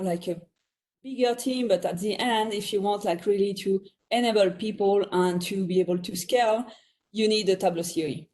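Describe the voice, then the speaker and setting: A woman's voice: mid-range voice, a little mellow. A woman with a French accent, talking chattily as a guest on a virtual panel, often laughing between thoughts. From France. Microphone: video call.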